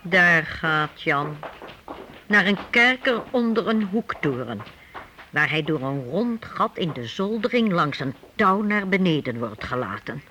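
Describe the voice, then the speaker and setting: A man's voice: high voice, bright, forceful tone. A man giving dramatic scripted narration for a radio play. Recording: faintly noisy old radio recording.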